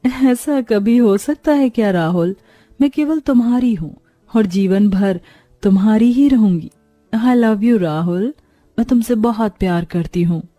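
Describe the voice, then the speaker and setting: A woman's voice: mid-range voice, unhurried, mellow, no echo, faint music behind, close to an everyday voice. Woman playing a coy scheming young bride-to-be. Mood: lovey.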